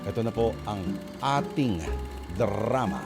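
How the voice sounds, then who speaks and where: A man, hosting and narrating a radio drama in a theatrical, deliberate storytelling style. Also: on the low side, expressive, creaky. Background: music.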